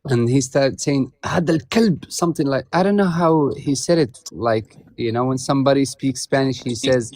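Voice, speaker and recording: A man's voice: medium pitch, darkish tone, dry recording. Man telling stories, first language Arabic. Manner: tells stories in loose, halting English. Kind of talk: casual chat.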